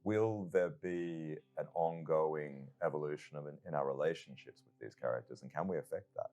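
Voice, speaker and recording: Man, extremely deep voice, dark mellow tone, measured interviewer. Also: highs cut off.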